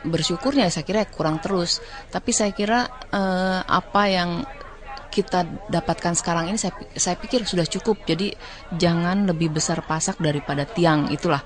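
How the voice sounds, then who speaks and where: A woman giving an informal interview answer, conversational and hesitant, circling back on her phrases. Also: on the low side.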